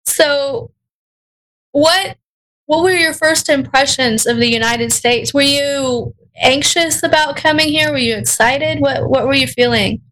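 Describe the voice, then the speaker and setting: Woman, radio host, high pitched, chatty, playful. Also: measured pace, a bit bright, low-quality recording. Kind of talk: casual interview hosting.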